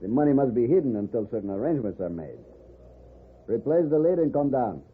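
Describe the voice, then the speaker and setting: An actor playing a suave, menacing gentleman villain in a radio western, speaking formally and deliberately with cool threat. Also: medium pitch, very animated, close to an everyday voice. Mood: quiet menace. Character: suave, menacing Mexican gentleman villain, scheming and coldly confident. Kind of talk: old-time radio western acting. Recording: muffled, noisy old radio recording.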